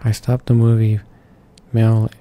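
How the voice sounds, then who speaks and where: A man's voice: on the low side, monotone, a little slow, mellow. A man reading visual novel text aloud as a let's player, stumbling over words now and then. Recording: game music behind the voice. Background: video game music.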